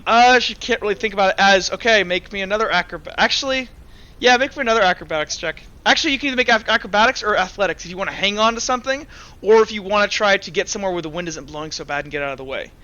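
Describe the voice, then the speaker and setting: A man's voice: high voice, very fast, pushed and bright, lo-fi sound. A man improvising narration and rulings as the game master of a tabletop roleplaying game.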